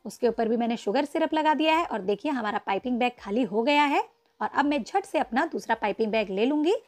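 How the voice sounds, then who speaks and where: A woman narrating a step-by-step cake icing tutorial, patiently instructing viewers and calling them friends. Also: high voice. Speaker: cooking channel host. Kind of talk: step-by-step baking tutorial voice-over.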